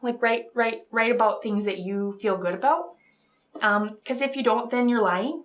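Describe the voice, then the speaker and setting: A woman who speaks in an earnest, halting, apologetic way, as a guest novelist on a comedy show. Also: a little high. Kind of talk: dramatic reading of romance prose, then casual interview talk.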